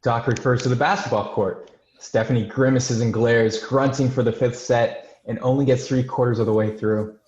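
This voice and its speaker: A man's voice: medium pitch, fairly even intonation, moderate pace, darkish tone. A man reading scripted screenplay stage directions as narrator. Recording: dull, roomy, echoing home recording.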